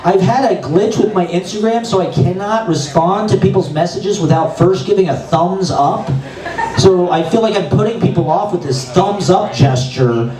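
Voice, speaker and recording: A man hosting an open mic, introducing acts with excitable, rambling banter and casual swearing. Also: high-pitched, moderate pace. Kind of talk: emcee banter between acts. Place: open mic comedy venue. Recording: echoey room, distant mic, crowd noise.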